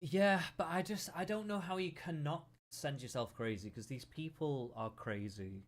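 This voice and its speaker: A man's voice: fairly high pitch, normal speed. A British man hosting a podcast, chatting in a rambling, opinionated, stop-start way. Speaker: podcast host. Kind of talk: casual chat.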